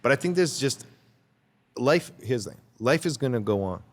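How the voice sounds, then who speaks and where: A man's voice: mid-range voice, lively intonation, normal speed, in a large hall. A man talking as a guest in a casual interview, rambling and repeating words as he searches for his thoughts. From Canada.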